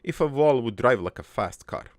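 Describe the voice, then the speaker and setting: A man playing a gruff, crude army veteran turned prankster, telling his regretful life story in a halting, matter-of-fact ramble for an improvised cartoon monologue. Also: medium pitch, animated, dry recording, close to an everyday voice. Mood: matter-of-fact, reminiscing.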